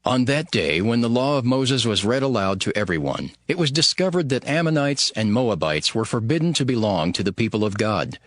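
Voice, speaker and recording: A man's voice: fairly low pitch, fairly bright tone, dry recording. A man playing a stern, devout ancient governor, narrating his reforms in an earnest, emphatic, solemn dramatized scripture style. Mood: solemn, measured. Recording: music bed behind the voice. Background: music.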